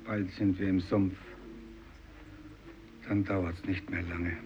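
A resolute, solemn fisherman in a vintage German radio drama, facing death with grave insistence. The voice sits low, and the old recording is dull with some noise. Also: little pitch movement, slow. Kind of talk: 1950s German radio play acting.

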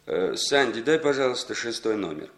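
A man's voice: medium pitch, lively intonation, normal speed, noisy background, lightly acted. An actor playing a jovial, cynical male intelligence agent in a 1960s Soviet radio play, talking in a casual, bantering manner. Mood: brisk, businesslike.

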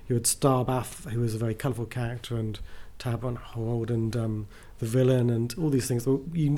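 A man's voice: mid-range voice, dark tone. A man being interviewed as a writer, chatting casually and enthusiastically, often rambling and breaking into his own sentences.

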